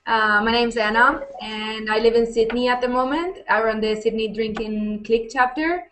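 A woman's voice: on the high side, moderate pace, fairly bright tone, slight background noise. Friendly young woman on a webcam call. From Spain. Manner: friendly, plain-spoken. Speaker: panel guest, physics student. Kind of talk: casual video call chat. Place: room at home. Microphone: webcam video call.

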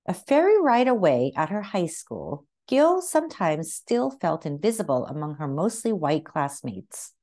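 Expressive lower-voiced woman reading a picture book. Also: a little slow, few pauses. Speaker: read-aloud narrator. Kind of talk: children's picture book read-aloud.

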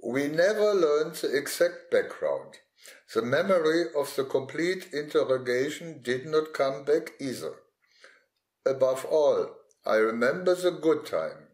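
Slow, expressive man in a roomy space. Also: a little high. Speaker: witness recalling past experience. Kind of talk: scripted first-person reminiscence.

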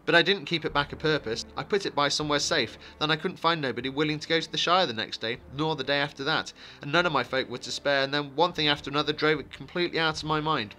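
A man's voice: on the high side, fast, hardly any pauses, sharp tone, slight background noise, voice pushed up. A man voicing an anxious, forgetful, chatty village innkeeper in a fantasy audiobook, in flustered, rambling rustic talk. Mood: apologetic, flustered. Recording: music behind the voice.

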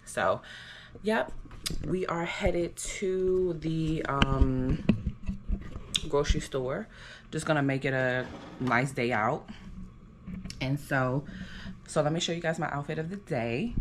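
A woman's voice: low voice, slow, long pauses, noisy background. A young woman from the United States talking casually to her phone camera for a vlog, chatty and upbeat, with lots of "like" and "um" fillers. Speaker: vlogger.

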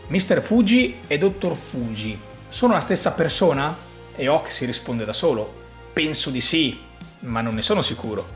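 A man gives an animated, emphatic explainer monologue in a conversational storytelling way. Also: medium pitch, reverberant. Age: 30s. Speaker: video host and commentator. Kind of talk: enthusiastic explainer monologue to camera. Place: small furnished room at home, indoors.

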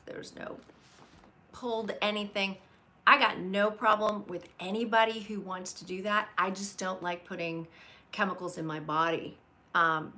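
A woman's voice: medium pitch, sharp tone. A woman in her late fifties hosting a casual live chat from home on a webcam, greeting viewers by name and talking candidly. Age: 50s. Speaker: livestream host. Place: home room with leather chair by window. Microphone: webcam at desk.

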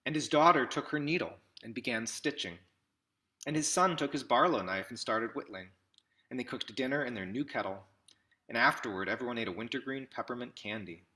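Bright-voiced man reading a picture book aloud. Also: mid-range voice, fairly quick. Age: 30s. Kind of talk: read-aloud storybook narration. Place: small indoor room with classroom wall displays.

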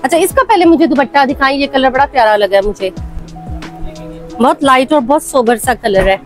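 High-voiced Pakistani woman vlogging in a shop. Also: fairly quick, faint music behind. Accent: Pakistani Urdu. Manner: chatty, eager to see every colour. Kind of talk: shopping vlog chat with shopkeeper. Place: crowded fabric shop in a market. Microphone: clip-on lapel mic.

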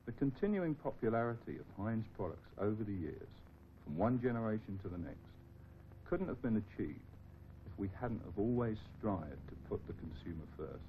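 A man's voice: fairly low pitch, big pitch swings, moderate pace. A middle-aged man giving a scripted presentation as a marketing manager. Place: outdoors beside an office building. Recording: thin phone-like old film sound, noise.

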